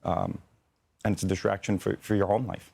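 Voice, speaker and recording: Deep male voice, gravelly, halting, matter-of-fact, plain-spoken interview guest. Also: flat delivery, a little mellow. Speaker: air traffic controller, interview guest.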